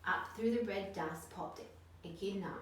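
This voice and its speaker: A woman reading a picture book aloud to children in an expressive storytelling style. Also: mid-range voice, measured pace. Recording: very echoey room, distant mic, noisy.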